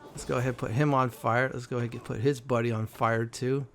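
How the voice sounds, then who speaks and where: A man's voice: medium pitch, flat delivery, quick pace, a little hiss or hum. A man hosting a game walkthrough in a casual, chatty, easygoing way. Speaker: gaming video host.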